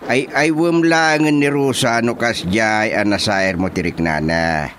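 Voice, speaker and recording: A man with an Ilocano accent playing an excitable, nosy, teasing village buddy in a radio comedy drama. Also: lowish voice, unhurried, slight background noise.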